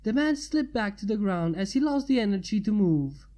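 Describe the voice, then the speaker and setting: A woman's voice: medium pitch, big pitch swings, normal speed, warm tone. A woman reading fan fiction aloud as scripted story narration.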